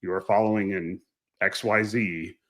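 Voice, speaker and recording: Low-pitched man, informal and hedging. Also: fairly even intonation, normal speed. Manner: informal, hedging, breaks off mid-thought. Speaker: airline pilot and panelist. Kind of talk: casual panel discussion.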